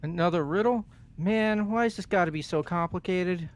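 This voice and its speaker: A man's voice: high voice, normal speed, close to an everyday voice. A man voicing a hot-headed, exasperated young delinquent gang leader in an amateur game dub. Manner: brash, exasperated, swearing.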